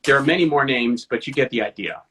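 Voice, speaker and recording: A man's voice: medium pitch, fast talker, pushed and bright, a little hiss or hum. A man hosting an interview over a video call, enthusiastic and joking at his own expense. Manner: enthusiastic, self-deprecating humor. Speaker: interview host. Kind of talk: casual interview chat.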